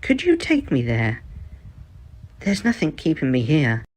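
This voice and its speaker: An eager, matter-of-fact young woman survivor, acted out by a man in an audio fan fiction. The voice is lifted higher than usual and speaks plainly, as a character in a story. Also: wildly swooping pitch, a little slow. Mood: curious, eager.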